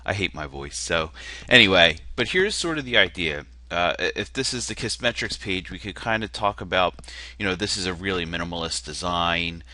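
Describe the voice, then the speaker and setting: A man's voice: low-pitched, animated, normal speed, sharp tone, no room sound. A man with a nasal voice, casually walking through a web page on screen, self-deprecating and hesitant with many fillers. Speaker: marketer critiquing a landing page. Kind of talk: casual screen-share walkthrough.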